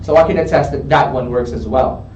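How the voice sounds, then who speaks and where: A man's voice: medium pitch, a little fast, a little mellow, a little hiss or hum. A man working as an English tutor, coaching a student in a studio and often checking in with "okay?". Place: studio room. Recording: roomy, distant, dull studio sound.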